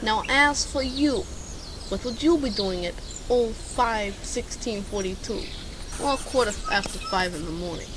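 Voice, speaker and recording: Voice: mid-range voice, animated, a little slow, close to an everyday voice. A young-sounding amateur actor playing a bumbling, pompous male private eye in a radio drama, theatrical and self-important. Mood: pompous questioning. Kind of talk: amateur radio drama detective narration and dialogue. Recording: hissy, noisy amateur home recording.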